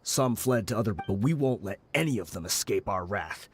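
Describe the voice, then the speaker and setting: An actor playing a grave, loyal, vengeful mercenary in a video game, speaking in a solemn, measured way. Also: male voice, on the low side, lively intonation, slightly rough. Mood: grimly resolute. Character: grave, loyal mercenary sworn to avenge his boss. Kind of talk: dramatic video game acting.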